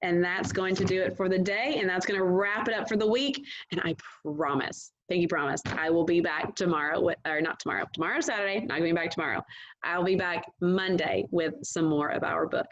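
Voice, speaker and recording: Low-pitched woman reading a story aloud. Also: fairly even intonation, a little fast, dull audio. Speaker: read-aloud reader. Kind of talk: expressive storybook narration.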